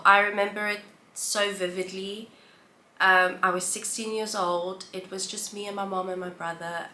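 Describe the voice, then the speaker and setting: A South African woman in her thirties, chatting to camera about her life in a relaxed, reflective way with listing pauses. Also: fairly low pitch, slight background noise. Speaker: vlogger. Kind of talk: casual sit-down chat to camera. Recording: roomy echo, faint background ambience.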